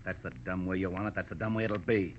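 An actor playing a cold American gangster in an old radio crime drama, threatening and commanding in slangy speech. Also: male voice, deep voice, fairly even intonation, quick pace, close to an everyday voice. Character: menacing male gangster, cold blackmailer and killer. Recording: muffled, noisy old-time radio recording.

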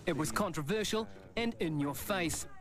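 A man's voice: high-pitched, normal speed, a crowd in the background. A man with a New Zealand accent narrating a scripted television news report.

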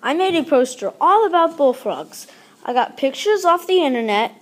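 A woman's voice: sits high, moderate pace. A woman reading a children's picture book aloud expressively, acting out the exclamations and dialogue. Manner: animated, acts out exclamations and dialogue. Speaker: storybook reader.

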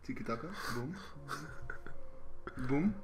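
A man playing a soccer game with a friend, excitably commentating and laughing as he goes. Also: mid-range voice, slow pace. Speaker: gaming channel co-host. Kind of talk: casual gameplay commentary. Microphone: desk mic, no camera.

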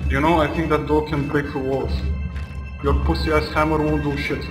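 A man's voice: fairly high pitch, little pitch movement, brisk. A man joining in on a gameplay video, teasing his friend with crude jokes. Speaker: co-commentator. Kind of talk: casual banter over gameplay. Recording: echoey room with game music behind.

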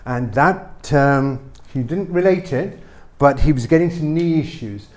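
A man's voice: on the high side, expressive, measured pace, darkish tone. Emphatic man explaining in a clinic. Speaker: chiropractor. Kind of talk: explaining a treatment to camera. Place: small clinic treatment room.

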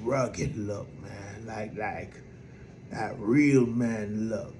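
A man with a soft voice preaching a sermon in a lively, conversational way, with many fillers. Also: fairly low pitch, very slow, a little hiss or hum.

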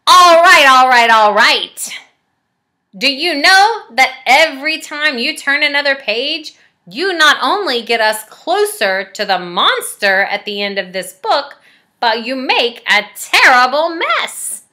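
A woman's voice: sits high, sing-song, slow, sharp tone, heavily acted. A woman voicing a timid, lovable furry male storybook monster in a pleading, melodramatic manner. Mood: exasperated. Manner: pleading, melodramatic, exaggerated emphasis.

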